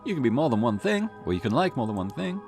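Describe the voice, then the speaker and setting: A man's voice: mid-range voice, very animated, fast talker, a little mellow, pitched above the performer's own voice. A man voicing an eager, cheeky junior police detective in a visual novel, chatty and teasing. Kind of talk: acted visual novel dialogue. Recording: music behind the voice.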